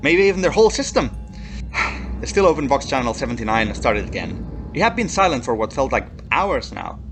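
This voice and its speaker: A man giving an emphatic, expressive dramatic reading of a dark science fiction horror story as its narrator. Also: mid-range voice, normal speed. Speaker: narrator reading his own short story. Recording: faint background noise and ambience. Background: atmospheric background music.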